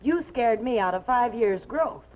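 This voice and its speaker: A woman's voice: fairly high pitch, animated, measured pace, slight background noise. An actress playing a loyal, fussing woman secretary at a newspaper in a 1950s television drama. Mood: worried, exasperated. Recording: dull 1950s TV soundtrack, music behind.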